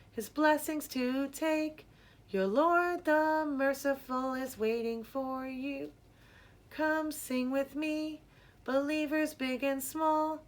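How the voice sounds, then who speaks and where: Woman as a devout grandmother, high slow singsong. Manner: slow melodic singsong chanting. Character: gentle, devout old grandmother singing wake-up verses.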